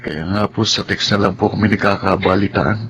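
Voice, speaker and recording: An actor playing a gentle, respectful young man in a radio drama, speaking earnestly and politely. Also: low voice, steady tone, brisk, slight background noise. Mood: sorrowful, polite. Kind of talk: radio drama narration and dialogue. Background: dramatic music.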